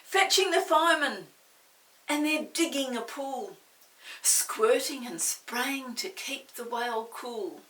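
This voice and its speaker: An older woman reading a story aloud to young children, expressive, pausing to explain and ask the listener questions. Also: high-pitched. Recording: roomy, distant mic with faint hiss.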